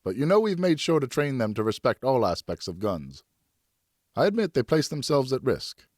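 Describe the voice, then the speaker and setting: A man's voice: mid-range voice, sing-song, moderate pace, darkish tone, no room sound, clean studio sound, voice pushed up. A man playing a weary, conciliatory French nobleman, husband and father in an audiobook, reasoning earnestly and placatingly. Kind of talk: audiobook character acting.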